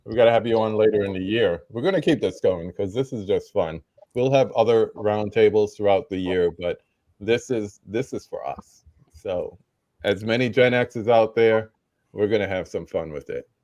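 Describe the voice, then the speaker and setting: A man's voice: fairly low pitch, moderate pace, no echo, muffled recording. A middle-aged man hosting a live roundtable stream, casually greeting viewers from the chat. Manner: laid-back, shouting out chat viewers. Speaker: host.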